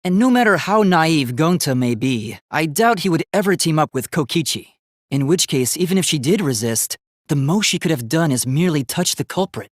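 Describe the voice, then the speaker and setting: A young male robot student from a video game, earnest and logical. His delivery is formal and precise, as if every statement is being reasoned through, yet the pitch moves with lively variation. The sound is dry, with no room around it. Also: normal speed, no echo. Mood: analytical, serious. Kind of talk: English video game voice acting.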